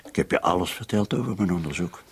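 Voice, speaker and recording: An actor playing a shrewd, sceptical police commissioner with a husky voice in a radio drama. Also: male voice, sits low, expressive, quick pace, heavily acted. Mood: evasive, domestic. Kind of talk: Dutch-language radio drama dialogue.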